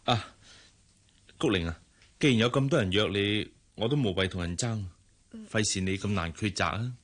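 A man's voice: lowish voice, normal speed, close to an everyday voice. A man acting in a Cantonese radio drama with a Hong Kong accent, playing a polite married boss who is awkwardly flirtatious. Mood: hesitant, flirting. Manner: hesitant, polite.

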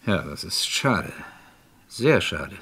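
An actor playing a patient, probing police inspector in a German radio crime drama, with a courteous, persuasive way of questioning. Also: male voice, low voice, very animated, slow pace, a bit bright, breathy edge, full character voice. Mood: patient, pressing.

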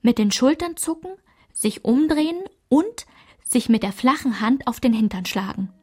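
An actress narrating rules and village routines in a radio play, in a clipped, matter-of-fact literary recitation. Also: female voice, on the high side, lively intonation, normal speed, darkish tone, breathy edge.

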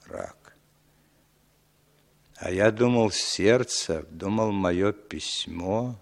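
A brooding aging impostor, low voice, slow and sentimental, radio play. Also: male voice, creaky, exaggerated voice. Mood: heavy sentimental. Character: aging male impostor posing as a long-lost brother. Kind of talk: 1960s radio drama acting.

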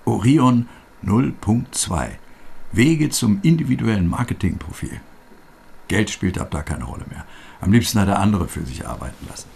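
An actor playing a younger brother, talking earnestly and probing for answers in a radio drama. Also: male voice, lowish voice, lively intonation, normal speed, creaky, darkish tone, a little hiss or hum.

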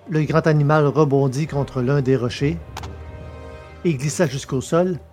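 A man reads science fiction narration in a steady, measured way. His voice is mid-pitched and plain. Faint music plays underneath him.

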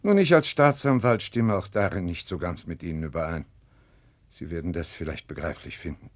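An actor playing a formal, skeptical public prosecutor in a 1960s German radio drama, measured and dryly bureaucratic. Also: male voice, sits low, expressive, dry recording. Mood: dry, official.

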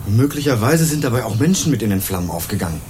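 A man's voice: medium pitch, big pitch swings, fast talker, darkish tone, slight background noise, lightly acted. A young man acting an earnest, wryly sardonic student investigator in a German radio crime drama, articulate and dry. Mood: earnest, accusing. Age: young adult.

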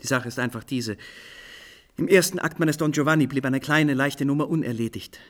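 An actor in a German radio play playing an exuberant composer who tells of his own mishap in an animated, theatrical way. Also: medium pitch, brisk, lightly acted. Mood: musing, delighted. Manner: theatrical, animated, storytelling with shifting pace. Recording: faint music behind the voice.

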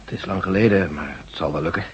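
A male actor playing a groggy general in a science-fiction radio drama, hesitant and musing as his wits slowly return. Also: low-pitched, husky. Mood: dazed, cooperative. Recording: muffled old radio drama recording.

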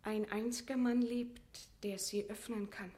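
A woman's voice: medium pitch, steady tone, measured pace, lightly acted. An actress playing a proud, sorrowful captive queen in a classical verse radio drama, with a dramatic, declaimed delivery. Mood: grave warning. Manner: dramatic, swings from hushed to anguished.

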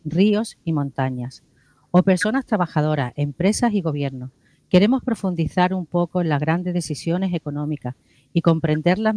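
A man's voice: fairly high pitch, darkish tone, no room sound. A man co-hosting a radio programme, introducing segments and putting questions to guests. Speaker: radio co-host.

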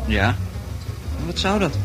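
A man's voice: on the high side, big pitch swings, slow pace. A man acting a reluctant, noncommittal café customer in a radio drama, casual and hesitant. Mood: reluctant, offhand. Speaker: actor in a radio play. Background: music.

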